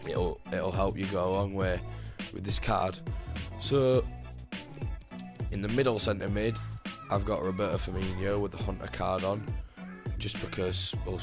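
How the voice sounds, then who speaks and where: A man hosting his gaming channel, talking casually about his team with a slightly hoarse voice, rambling with lots of fillers and restarts. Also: sits low. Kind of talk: casual gameplay commentary.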